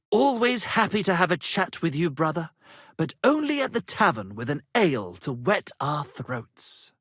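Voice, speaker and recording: A pompous medieval poet and musician from a video game, speaks with florid theatrical flair. His voice is husky and swings widely in pitch, in a dry, close recording. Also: a bit bright, no room sound, exaggerated voice. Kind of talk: theatrical game character dialogue.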